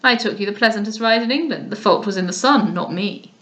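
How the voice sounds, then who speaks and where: A woman's voice: medium pitch, fairly quick, fairly bright tone, natural voice. A woman voicing a careless, indolent young male lord, speaking in an offhand, drawling way. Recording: roomy echo.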